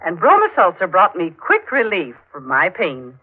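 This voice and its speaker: A woman's voice: on the low side, huge pitch swings, normal speed. A woman giving a scripted testimonial in a 1940s radio commercial. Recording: tinny, narrow-band 1940s radio recording.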